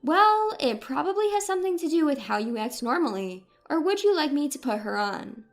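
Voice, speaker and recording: A firm older grandmother, read by a woman narrator in a high voice. She answers in calm, pointed retorts, as in an audio story with faint music. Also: moderate pace.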